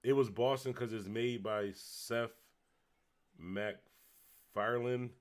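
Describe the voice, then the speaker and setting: A man from Buffalo with a Western New York accent, a little tipsy, rambling loosely as he hosts a late-night livestream and shouts out viewers. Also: medium pitch, steady tone, slow. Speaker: livestream host. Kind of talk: casual livestream chat reading comments.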